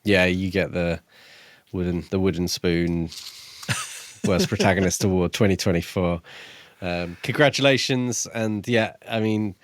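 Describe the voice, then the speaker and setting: A man's voice: deep voice, measured pace, no echo. Man chatting casually, close and dry. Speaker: podcast co-host reviewing books. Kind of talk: casual book discussion.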